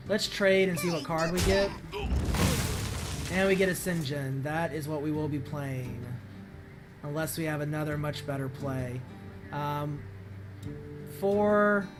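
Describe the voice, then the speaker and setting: A hoarse man with a voice that is not deep, commenting on his card game as he plays and thinking aloud with many ums and restarts. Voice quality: voice not very deep, sounds high-pitched. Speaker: streamer playing a card game. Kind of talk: casual gameplay commentary.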